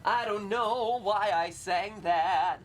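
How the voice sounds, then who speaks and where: A young man hosting a casual game livestream, goofy and excitable, breaking into shouts and playful sing-song bits. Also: high-pitched, takes it slowly, faint music behind. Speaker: host. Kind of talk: casual let's-play chat.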